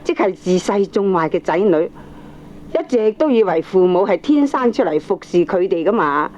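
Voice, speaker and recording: An actress with a Hong Kong accent playing an opinionated middle-aged aunt, lecturing firmly and emphatically in a radio drama. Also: female voice, medium pitch, very animated, normal speed, darkish tone, lightly acted. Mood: preachy, assured. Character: opinionated aunt, firm, preachy family adviser. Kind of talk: Cantonese radio drama acting. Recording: dull, slightly noisy old cassette recording.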